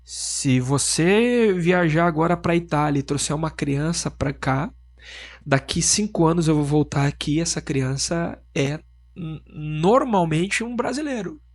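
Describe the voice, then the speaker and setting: Husky, emphatic Southern Brazilian man. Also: on the high side, unhurried. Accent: Southern Brazilian Portuguese (Rio Grande do Sul). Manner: emphatic, asks rhetorical questions. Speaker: business owner guest. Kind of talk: casual podcast conversation.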